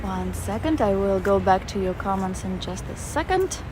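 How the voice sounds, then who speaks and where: A woman's voice: mid-range voice, animated, normal speed, background noise. Russian-accented woman chatting on a walk. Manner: chatty, reads viewer comments aloud with fillers. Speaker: walking livestreamer. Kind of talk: casual live-stream chat reading comments. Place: outdoors in a city park.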